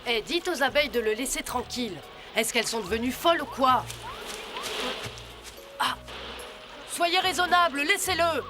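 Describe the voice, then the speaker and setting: An earnest young male lion cub from a cartoon voiced by a woman. The voice is on the high side, reasoning like a fair-minded peacemaker, with music underneath. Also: normal speed, long pauses, lightly acted. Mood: protesting. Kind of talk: French cartoon dubbing. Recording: music behind the voice.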